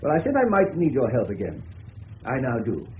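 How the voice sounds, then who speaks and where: An actor playing an assured, persuasive English colonel in a radio drama. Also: male voice, medium pitch, wildly swooping pitch, fairly quick. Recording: muffled, faintly noisy 1970s radio recording.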